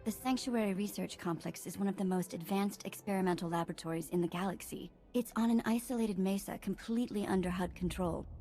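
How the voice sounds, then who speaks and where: A woman's voice: mid-range voice, a little fast, few pauses, mellow, soft background music, close to an everyday voice. A woman voicing a serious, businesslike mission briefer in a sci-fi game, matter-of-fact and informative. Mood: briefing. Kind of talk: scripted game dialogue.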